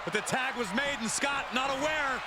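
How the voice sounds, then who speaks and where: A man giving excited, colloquial and emphatic colour commentary in a wrestling video game. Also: extremely high pitch, moderate pace. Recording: very loud arena noise behind.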